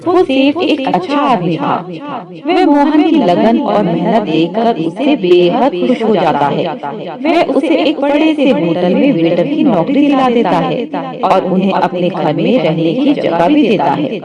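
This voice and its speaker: Very animated storytelling narrator, muffled. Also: medium pitch, measured pace, over a noisy crowd.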